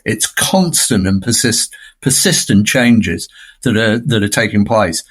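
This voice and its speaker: A man's voice: lowish voice, animated, unhurried, a bit bright, breathy edge. A man speaking as a film scholar in an unscripted podcast discussion, discursive and fond of tag questions.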